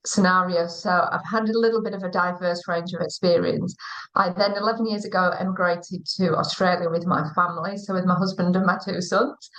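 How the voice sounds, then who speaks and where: A woman in her forties with an English accent, speaking in a fairly low voice on a video call. She tells her story at a measured, unhurried pace, pausing often with "um". She sounds like a guest on a livestream interview. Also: poor audio. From England. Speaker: guest, school director of teaching.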